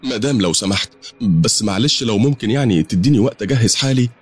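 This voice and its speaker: A man voicing a bold, sharp-tongued young woman in a storybook tale, defiant and indignant in Egyptian Arabic. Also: on the low side, lively intonation, quick pace, breathy edge, soft background music, pitched above the performer's own voice. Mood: wary.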